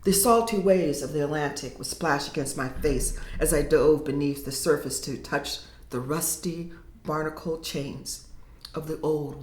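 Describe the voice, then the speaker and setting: A woman poet reading her verse slowly and dramatically, sinking to whispers at times, then talking casually about her writing. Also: low voice. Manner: slow, dramatic reading with hushed whispered lines. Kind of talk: poetry reading and casual interview. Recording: echoey room.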